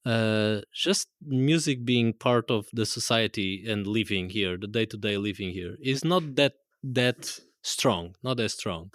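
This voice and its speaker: A man's voice: fairly low pitch, a little slow, hardly any pauses, fairly bright tone, no echo, clean studio sound. Portuguese-accented man, chatty and rambling. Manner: rambling, self-correcting, laughs often. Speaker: guest musician. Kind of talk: casual live conversation. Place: live event room with audience.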